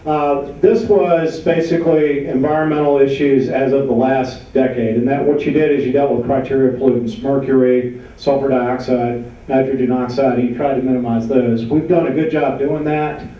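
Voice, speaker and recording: A man from New York giving a slide talk to a local audience, speaking loudly with many uh fillers and asking for the next slides. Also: medium pitch, steady tone, normal speed, few pauses. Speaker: panel speaker. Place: large meeting room with an audience. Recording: far-off, dull, very echoey hall.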